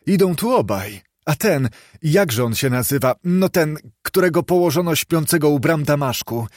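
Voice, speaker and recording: A man's voice: medium pitch, animated, a little slow, darkish tone, no room sound, studio quality. A man voicing a sentimental old man who eagerly exclaims over his childhood memories in a storybook reading. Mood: excited, nostalgic. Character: old man Scrooge, excitedly and tearfully reliving childhood memories.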